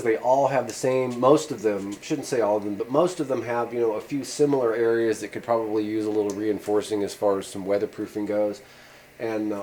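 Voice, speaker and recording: A man's voice: medium pitch, warm tone. A man in a home workshop giving a rambling, casual how-to talk with many fillers. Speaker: channel host demonstrating a repair.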